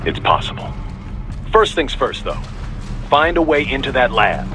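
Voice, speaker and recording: Lively, wry mission handler. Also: male voice, medium pitch, unhurried, over music. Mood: offhand caution. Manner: offhand, wry, conversational. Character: casual, wry male mission handler on comms. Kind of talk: video game briefing dialogue.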